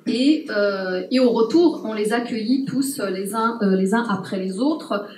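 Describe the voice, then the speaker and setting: A woman anthropologist speaking at a public talk, pausing often with fillers and restarts. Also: mid-range voice, a little mellow, a little hiss or hum. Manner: hesitant, many fillers and restarts. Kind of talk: unscripted talk to an audience. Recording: roomy distant mic with faint ambience.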